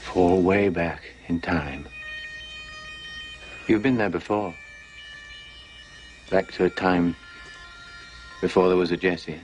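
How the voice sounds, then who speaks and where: A hypnotist, guiding a subject with slow, soothing commands. His voice is very deep and unhurried. Faint music plays under him. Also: fairly even intonation, darkish tone.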